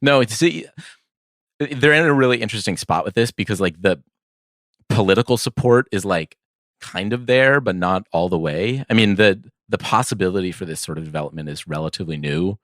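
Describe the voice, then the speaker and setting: Low-voiced man in casual podcast chat. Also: lively intonation, brisk, fairly bright tone, no room sound, studio quality. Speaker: podcast co-host.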